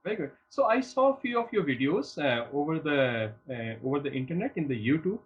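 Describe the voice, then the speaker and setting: Male studio host, high and husky, eager and repetitive, faint music underneath. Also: sing-song, a little fast, phone-quality sound. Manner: repeats and restarts phrases, upbeat promo pitch. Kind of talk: studio interview.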